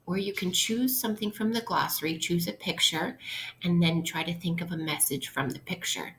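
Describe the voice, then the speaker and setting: A woman's voice: fairly low pitch, moderate pace, a bit bright, slight background noise, low-quality recording. A woman teacher reading aloud to children in an instructional way, explaining things simply. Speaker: elementary school teacher. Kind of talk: interactive read-aloud lesson for children.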